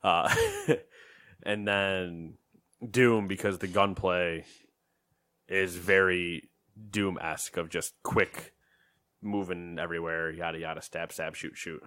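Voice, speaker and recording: A man's voice: sits low, fairly even intonation, slow, sharp tone, smooth, no echo, clean studio sound. A man co-hosting a gaming podcast in casual chat, rambling and joking, often trailing off into mumbles. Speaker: podcast co-host.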